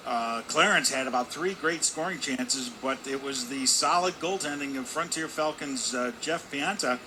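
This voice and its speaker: A man with a mid-pitched voice gives hockey commentary between plays. He talks conversationally, with halting and folksy phrasing. Loud arena noise sits behind him. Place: indoor ice hockey arena. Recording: loud ambient rink noise.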